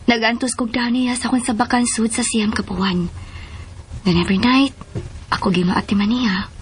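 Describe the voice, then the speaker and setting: A woman playing an affectionate young wife and new mother in a Filipino radio drama, expressive and sweetly pleading, with a Filipino accent. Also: a little high, moderate pace, slight background noise, close to an everyday voice. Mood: wistful, pleading.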